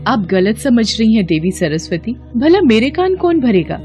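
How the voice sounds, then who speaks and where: A woman plays a river goddess who tries to calm a quarrel, sounding gentle and defensive. Faint music sits behind her voice. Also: on the high side, normal speed. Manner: soothing, defensive. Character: placating river goddess, female.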